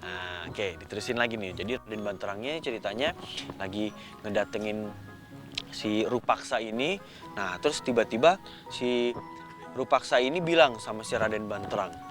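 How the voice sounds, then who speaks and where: A man's voice: lowish voice, lively intonation, normal speed, pauses often, fairly bright tone. Chatty male children's storyteller over music. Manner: informal, chatty, questioning the listeners.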